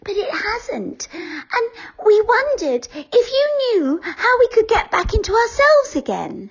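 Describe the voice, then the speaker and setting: Woman doing a sweet high doll voice. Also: big pitch swings, slow, heavily acted. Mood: pleading. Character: sweet, pretty girl turned doll. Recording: dull lo-fi recording.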